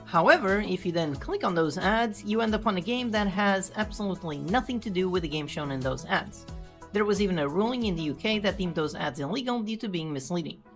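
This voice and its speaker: A woman's voice: on the low side, animated, a little fast. A woman narrating a scripted game development video in an explanatory manner, as a host and developer. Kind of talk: scripted tutorial devlog narration. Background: background music.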